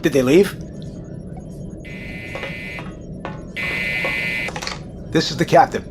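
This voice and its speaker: A man's voice: a little high, fast talker, music in the background, close to an everyday voice. A man playing a formal, authoritative submarine captain in an audio drama, with clipped military speech. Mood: brisk. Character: commanding, dutiful male submarine captain. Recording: roomy, distant, noisy vehicle ambience.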